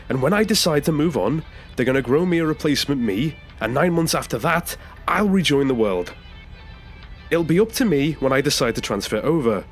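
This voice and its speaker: Male actor as jittery defensive male passenger, stammering, highish animated voice, sci-fi audio drama. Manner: stammering, hesitant, halting. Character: nervous, defensive male taxi passenger pursuing renewal. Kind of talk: science fiction audio drama acting.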